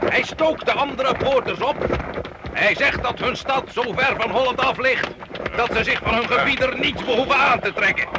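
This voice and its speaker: A scornful medieval knight in radio drama, pitched extremely high for a man. He is formal, emphatic and servile to his lord, on a very noisy old recording. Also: moderate pace. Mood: aggrieved, accusing. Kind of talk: old radio play acting.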